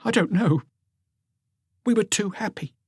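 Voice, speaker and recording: A man voicing an anxious, grieving woman in her forties, gentle and hesitant, in an audiobook. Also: high voice, unhurried, husky, dry recording, clean studio sound, pitched above the performer's own voice, full character voice. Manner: hesitant, apologetic.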